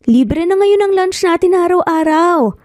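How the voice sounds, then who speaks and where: A woman voicing a cheerful, gossipy young female office worker in a Tagalog audio story, with an excitable, teasing manner. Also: extremely high pitch, a little slow, no room sound, clean studio sound, voice pushed up. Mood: excited, teasing.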